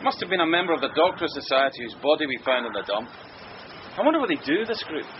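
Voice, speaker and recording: An actor playing an earnest, polite, patient police sergeant in a radio mystery drama. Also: male voice, a little high, very animated, fast. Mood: earnest, deferential. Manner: polite, methodical, deferential. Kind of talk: radio comedy-mystery acting. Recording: dull lo-fi sound, noisy ambience.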